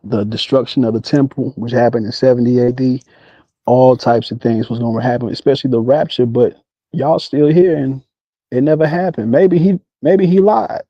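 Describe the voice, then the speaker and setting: A man's voice: mid-range voice, fairly even intonation, moderate pace, no echo. American man on a dull, dry call. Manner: challenging, needling questions. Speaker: debate challenger calling in. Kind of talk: casual debate, pointed questioning. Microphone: online voice call.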